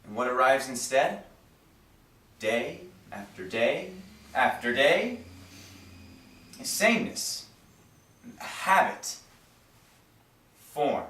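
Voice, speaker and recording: A man performing a stage monologue as an earnest, disillusioned writer who muses philosophically and deliberately. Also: on the high side, animated, fairly bright tone, full character voice. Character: earnest, disillusioned male writer musing on life. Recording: echoey room, far from mic.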